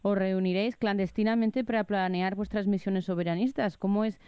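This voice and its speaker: A woman's voice: medium pitch, steady tone, brisk, clear, resonant tone, no echo, highs cut off. A woman interviewer asking plain, direct questions.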